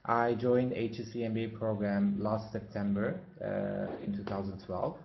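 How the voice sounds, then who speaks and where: A man's voice: on the low side, fairly even intonation, moderate pace, gravelly. A man from Turkey, an MBA student, introducing himself on a webinar and hesitating often on fillers. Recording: echoey, dull lo-fi webinar audio.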